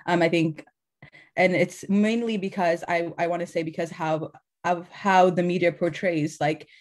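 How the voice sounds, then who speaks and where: A woman's voice: on the low side, fairly even intonation, normal speed, darkish tone. A young woman from Afghanistan speaking as a community organizer guest on a livestream, earnest and full of "like"s and restarts. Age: young adult. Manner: earnest, filler-heavy with many "like"s and restarts. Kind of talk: livestream conversation.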